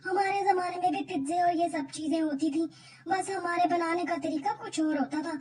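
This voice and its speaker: A woman's voice: very high-pitched, steady tone, normal speed, darkish tone. A woman voicing a feisty, scolding old woman in a cartoon story. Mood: indignant, scolding. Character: feisty old woman defending her generation. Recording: distant mic, faint background noise.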